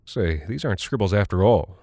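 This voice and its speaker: Preoccupied American airman , voiced by a male narrator. Lowish, hesitant mutter, blunt working-guy phrasing, dry intimate audio-drama sound. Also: lively intonation, brisk, mellow, dry recording. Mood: puzzled, absorbed. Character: preoccupied, puzzled male aircrew buddy, blunt and colloquial. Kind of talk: quoted dialogue in audio drama.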